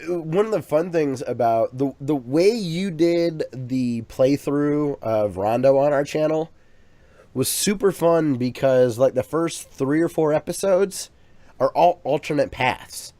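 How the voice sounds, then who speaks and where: A man's voice: a little high, unhurried, mellow, no echo. A man co-hosting a podcast in casual chat, rambling along with plenty of restarts and fillers. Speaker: podcast co-host. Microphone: USB microphone.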